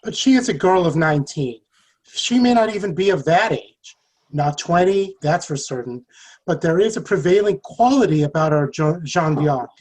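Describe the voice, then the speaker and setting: A man playing a loyal, devout medieval French soldier in a play reading, earnest and formal with a husky voice. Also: fairly high pitch, lively intonation, normal speed, darkish tone, low-quality recording. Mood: earnest faith. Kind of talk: stage play table read.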